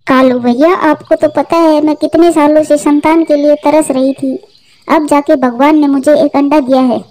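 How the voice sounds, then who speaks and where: Woman voicing a high-pitched gentle young mother bird. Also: steady tone, normal speed, close to an everyday voice. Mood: distressed. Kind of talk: Hindi cartoon voice acting.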